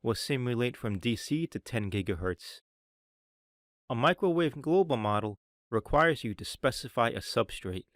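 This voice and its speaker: A man with a mid-range voice narrates a scripted software demonstration. His delivery is measured and instructional, explaining each step as a tutorial narrator would. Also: medium pitch, lively intonation.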